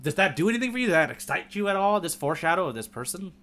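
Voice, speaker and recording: Bright-voiced man chatting on a podcast. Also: high-pitched, very animated, racing. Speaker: podcast co-host guiding a manga readalong. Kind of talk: casual chat.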